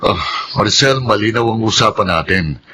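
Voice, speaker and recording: A man's voice: fairly low pitch, lively intonation, moderate pace, close to an everyday voice. An actor playing a domineering, threatening grown son and new father in a radio drama. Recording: noisy radio drama track.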